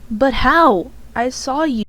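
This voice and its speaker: A woman plays a sheltered young daughter in a superhero audio drama. Her voice is fairly high and full of feeling. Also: takes it slowly, a bit bright. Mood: shocked, pleading. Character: sheltered, emotional daughter of a team leader. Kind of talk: amateur audio drama acting.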